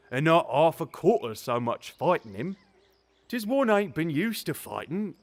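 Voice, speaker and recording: An actor as an animated, folksy zookeeper. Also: male voice, mid-range voice, natural voice. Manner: folksy, colloquial, rambling storyteller. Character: chatty working-class zookeeper, sly, joking, homespun philosopher. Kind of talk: audio drama dialogue, character storytelling.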